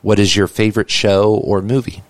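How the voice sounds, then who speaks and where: Male interviewer, low-pitched, dry recording, reads set questions evenly. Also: flat delivery, moderate pace, no echo.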